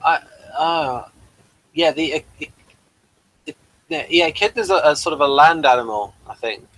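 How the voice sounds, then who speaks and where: A man's voice: a little high, huge pitch swings, moderate pace, vocal fry, fairly bright tone, dry recording, rough recording. A man talking casually as co-host of a review, in a hesitant, rambling way. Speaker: co-host of a sci-fi TV episode review. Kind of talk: casual chat reviewing slides.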